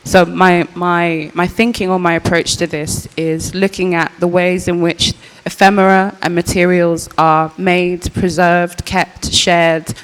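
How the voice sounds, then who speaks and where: Young woman, very quiet voice, reflective panel talk, long hall reverb. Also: on the low side, level tone, a little slow, hardly any pauses, clear, resonant tone. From Birmingham, England. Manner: reflective, searching, with fillers and restarts. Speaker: panel speaker, curator and archivist. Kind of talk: public panel discussion. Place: large public hall. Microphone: panel microphone.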